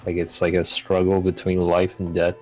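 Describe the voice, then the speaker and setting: A young man chatting casually as a co-player in a game, halting as he searches for words. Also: low voice, completely flat delivery, rough recording. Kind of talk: casual gaming chat. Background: faint game music.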